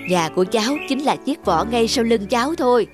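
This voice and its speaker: A woman voicing a wise, kindly older uncle snail in a cartoon, patiently and gently guiding. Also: on the high side, expressive, fairly quick, close to an everyday voice. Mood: wise, explaining. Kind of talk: cartoon dubbing. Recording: music behind the voice.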